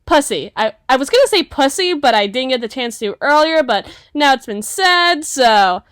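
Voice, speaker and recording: A woman voicing an exuberant, brash young monster woman who blurts things out loudly in an ASMR roleplay. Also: high-pitched, normal speed, smooth, no room sound. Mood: gleeful. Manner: loud, excitable, blurting.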